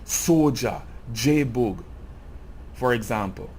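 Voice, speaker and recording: A man's voice: medium pitch, very slow, a little mellow, a little hiss or hum. A Jamaican man giving an earnest, impassioned cultural commentary in a video essay. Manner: earnest, grows impassioned and emphatic.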